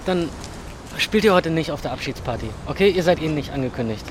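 A man acting as a young buddy in an audio drama, with a high voice. He is blunt, casual and practical, in short slangy phrases. Faint street and traffic noise sits behind him. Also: lively intonation, a little fast, close to an everyday voice. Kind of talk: naturalistic audio drama dialogue.

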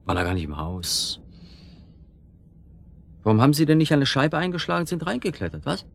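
A man's voice: fairly high pitch, big pitch swings, fairly quick, vocal fry, heavily acted. An actor in a radio drama playing a sceptical, uneasy married city man with a dismissive, impatient manner. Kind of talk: naturalistic German radio drama acting.

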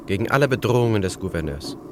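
A man plays a self-possessed young secretary in a radio drama. He speaks tersely and formally in a fairly low voice. There is noticeable noise behind him. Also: lively intonation, fast, close to an everyday voice. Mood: resolute. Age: young adult. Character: reserved, self-assured young male student posing as secretary. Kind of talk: German radio drama acting.

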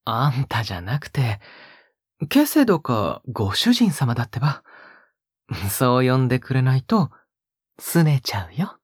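Breathy, sweetly menacing young captor. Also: male voice, medium pitch, sing-song, measured pace, no echo, studio quality. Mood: sweetly possessive. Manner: teasing, coaxing, talks as if to a pet. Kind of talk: intimate Japanese audio drama acting.

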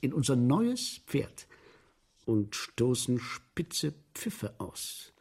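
A man's voice: medium pitch, sing-song, drawn-out words, darkish tone, slightly rough, heavily acted. An actor playing an obsessed, obsequiously polite showman in a German radio play, savoring gruesome details with theatrical insistence. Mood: savoring, grave.

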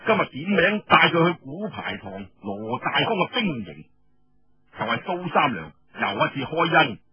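A man with a Cantonese accent telling a story in an expressive, colloquial oral storytelling style, with rhetorical asides. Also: fairly high pitch, moderate pace, slightly rough, dry recording, muffled recording. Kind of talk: dramatic traditional oral storytelling narration.

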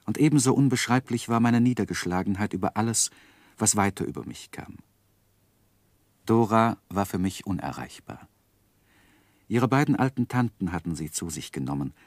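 An actor in a German radio play playing a reflective gentleman who narrates his own life in measured, literary phrases. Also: sits low, dry recording. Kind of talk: scripted first-person narration in 1960s German radio drama.

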